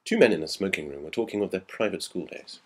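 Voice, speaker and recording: A man's voice: low voice, expressive, quick pace, breathy edge. A man giving a dramatic audiobook reading of a classic ghost story. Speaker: story reader.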